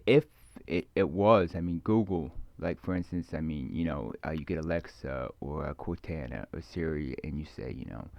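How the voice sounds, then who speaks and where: A man with a low voice talks close to the microphone in a dry, slightly muffled recording. He muses slowly through his thoughts in an ASMR style, restarting and repeating words as he drifts along. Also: vocal fry, a little mellow, dry recording. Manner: halting, repetitive, meandering, trailing off. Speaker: ASMR video creator. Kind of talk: rambling, musing ASMR talk to the listener.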